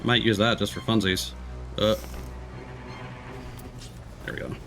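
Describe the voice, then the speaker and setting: A man's voice: fairly low pitch, steady tone, measured pace, gravelly, over music. A man giving casual, laid-back live commentary as he plays a video game, explaining things as he goes.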